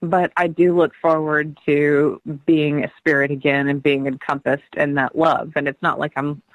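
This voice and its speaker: A woman's voice: sits low, level tone, normal speed, no room sound, poor audio. A middle-aged woman guest on a radio interview, speaking earnestly and laughing often as she tells her stories.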